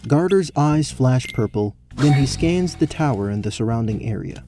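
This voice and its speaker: A man's voice: mid-range voice, animated, normal speed, over music. A man giving scripted narration for a fantasy tabletop-style audio story. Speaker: narrator, game master.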